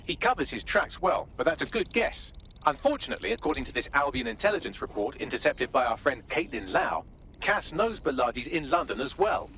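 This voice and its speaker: A man playing a wry, sardonic intelligence briefer in a video game cutscene, articulate and sharp. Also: a little high, very animated, a little fast, a little hiss or hum, exaggerated voice, rough recording. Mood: brisk, informative.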